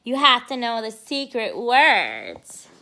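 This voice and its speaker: A woman voicing an anxious schoolboy with a sly streak from a children's storybook, in an exaggerated, expressive way. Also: a little high, slow, heavily acted. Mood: sly, confident. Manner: exaggerated, expressive read-aloud delivery.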